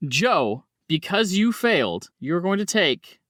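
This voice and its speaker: Guy running a horror rpg, highish voice that swings around a lot, close dry sound. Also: very animated, slow, a bit bright, no room sound. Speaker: game master. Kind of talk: running a horror tabletop roleplaying game.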